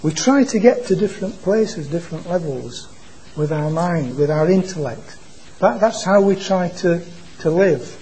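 Male preacher, quiet voice, mellow, slightly raised pitch, halting restarts. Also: animated, slight background noise, poor audio. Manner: halting, repeats and restarts words. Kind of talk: informal church sermon. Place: church meeting. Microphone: microphone being adjusted.

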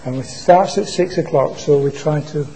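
Male preacher, quiet voice, mellow, slightly raised pitch, halting restarts. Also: vocal fry, slight background noise, poor audio. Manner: halting, repeats and restarts words. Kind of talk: informal church sermon. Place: church meeting. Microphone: microphone being adjusted.

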